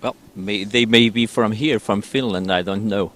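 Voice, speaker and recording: A man answering a street interview outdoors, hesitant and measured. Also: fairly low pitch, fairly bright tone. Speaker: passerby interviewed.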